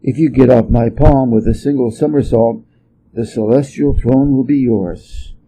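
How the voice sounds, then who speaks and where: A man voicing a huge, serene Buddha in a storybook, speaking with calm, measured authority and gentle admonishment. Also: medium pitch, muffled recording, slight background noise, lightly acted. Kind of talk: storybook character voice.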